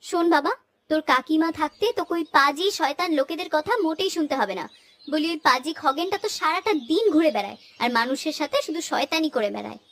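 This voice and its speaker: A woman's voice: high voice, animated, fast, a bit bright. A woman playing a kind, protective village aunt in a moral-story cartoon, fussing and scolding affectionately. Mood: indignant. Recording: music playing behind the voice.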